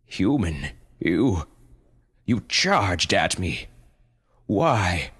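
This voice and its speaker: A man voicing a huge male panda leader who speaks in slow, solemn, measured speeches. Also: low voice, sing-song, a bit bright, slightly rough, full character voice.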